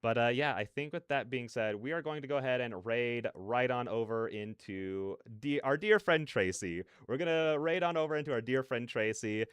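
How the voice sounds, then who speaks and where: A man with a smooth, clear voice talks casually to his livestream. He rambles in a scattered, self-interrupting way and drops in playful nonsense sounds as filler. The sound is dry and close. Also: mid-range voice, expressive, moderate pace, hardly any pauses, no echo. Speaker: livestreamer, host. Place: large, fairly empty room with soundproofing panels.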